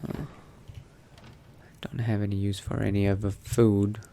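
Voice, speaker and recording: A man playing a newly turned vampire in a game, thinking aloud in character in a musing, unhurried manner with trailing phrases. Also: on the low side, flat delivery, creaky, warm tone. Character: newly turned vampire exploring new powers, musing adventurer. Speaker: roleplaying game player. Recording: noticeable background noise.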